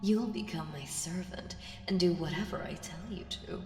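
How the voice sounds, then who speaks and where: A woman voicing an ancient primordial evil in an ASMR audio roleplay, seductive, taunting and menacing, speaking deliberately and teasingly with breathy whispers. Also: lowish voice, darkish tone, natural voice. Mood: menacing, gloating. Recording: very echoey, long reverb, music behind. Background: music.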